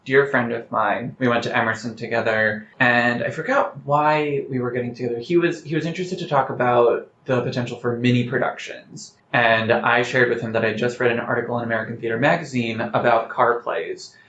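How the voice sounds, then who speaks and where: A man's voice: medium pitch, steady tone, fairly quick, few pauses, fairly bright tone, highs cut off. Man, interview guest, conversational storytelling, articulate, roomy sound, faint background noise. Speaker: playwright guest.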